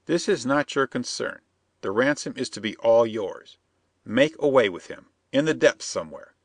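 Man putting on a high, stiff-spoken schemer. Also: animated, moderate pace, no echo, clean studio sound, natural voice. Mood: insistent. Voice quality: stiff, broken phrasing. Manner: insistent, persuasive. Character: scheming male plotter, vehement, stilted speech.

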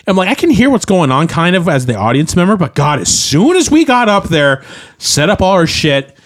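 A man's voice: high voice, big pitch swings, brisk, no echo, studio quality. A man co-hosting a casual podcast, talking in a laid-back way, swearing and laughing often. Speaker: podcast co-host, band member. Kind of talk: casual chat.

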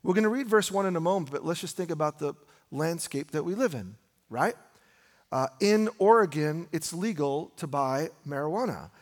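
A male American pastor with a slightly high voice preaches in a reverberant hall. His sermon is informal and conversational, built on rhetorical questions and phrases he repeats for emphasis. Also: lively intonation, normal speed. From United States. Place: large reverberant room, preaching live.

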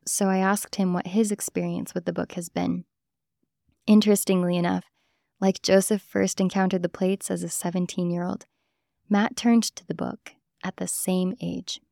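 A woman's voice: fairly low pitch, level tone, normal speed, vocal fry, mellow, no echo, clean studio sound. A woman hosting and narrating a podcast from a script, introducing her interview guest. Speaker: host, interviewer.

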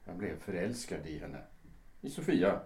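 An actor playing an ashamed, defensive lawyer in his late fifties in a radio drama, speaking formally and haltingly. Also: sits low, big pitch swings, a little mellow. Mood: remorseful, pleading. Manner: halting, formal, old-fashioned phrasing. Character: ashamed, hesitant 58-year-old lawyer, defensive brother. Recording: roomy, echoing interior.

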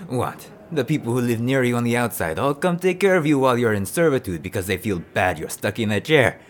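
An actor playing a wry, rough-humoured male ogre prisoner in an audio drama, with a sardonic manner. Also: mid-range voice, big pitch swings, brisk, natural voice. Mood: curious, teasing.

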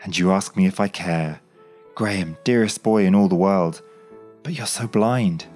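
A man's voice: deep voice, moderate pace, darkish tone, faint music behind, lightly acted. A man voicing an impulsive young woman in love, reading her lines from a storybook in a raised, breathless, pleading voice. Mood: passionate.

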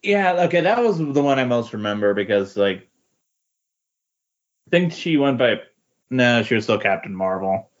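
A man's voice: mid-range voice, expressive, normal speed, long pauses. A man chatting casually about comic books as a podcast guest, in a hesitant, agreeable manner. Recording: dull, lo-fi recording.

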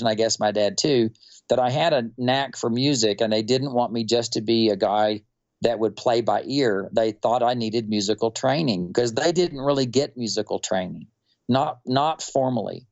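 A man from North Texas with a Texan accent, telling stories in a relaxed, unhurried interview with many fillers. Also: medium pitch, lo-fi sound. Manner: unhurried, rambling storyteller with fillers. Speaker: quilter and musician guest.

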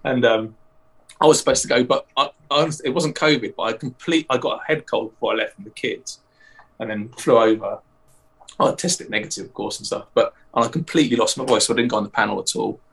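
A man speaking fast in a relaxed podcast conversation, his sentences tripping over restarts and fillers. He laughs easily and comes across slightly away from the microphone, at an average pitch. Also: gravelly, far from the mic, rough recording. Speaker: comic artist guest. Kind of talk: casual podcast chat.

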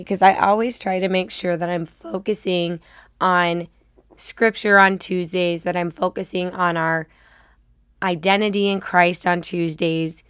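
Woman podcast host, cold-roughened raspy voice, encouraging, dry close sound. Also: lowish voice, no room sound. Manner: upbeat, chatty, encouraging. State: has a cold, slightly raspy. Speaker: podcast host and style coach. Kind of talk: casual faith-based podcast talk.

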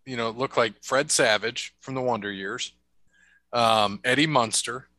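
A man's voice: medium pitch, normal speed, bright, forceful tone, no room sound. A man hosting a casual chat, rambling through stories and laughing often. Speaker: podcast host.

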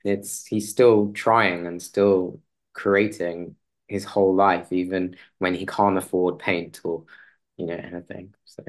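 A man speaking as an interview guest in a conversational way, hesitant and halting, often rephrasing as he goes. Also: deep voice, level tone.

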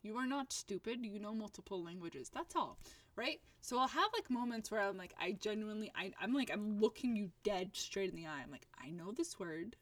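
A woman's voice: medium pitch, lively intonation, fairly quick, fairly bright tone, no echo. A young woman from Libya, Arabic speaker, chatting casually and animatedly and dropping in Arabic and Italian words. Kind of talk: casual chat.

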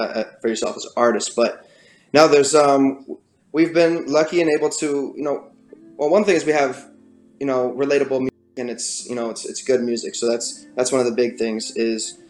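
Raspy guy chatting in an interview, lots of ums, roomy echo, faint music behind. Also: mid-range voice, darkish tone. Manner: halting, many fillers and restarts. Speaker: guest musician. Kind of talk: casual interview talk.

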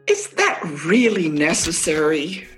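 Radio drama germophobe, played by a woman: female, prim and indignant, slightly low voice, unhurried but very expressive. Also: soft background music, full character voice. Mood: irritated, fussy. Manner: precise, prissy, indignant. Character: prim, fussy germophobic woman, anxious and easily exasperated. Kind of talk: radio play acting. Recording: home-recorded virtual radio play.

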